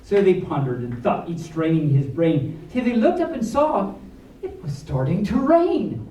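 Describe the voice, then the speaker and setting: A man's voice: high voice, sing-song, unhurried, dark tone. A man reading a storybook aloud to a live audience in a playful, theatrical way, with chatty asides. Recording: roomy, distant mic, faint background noise.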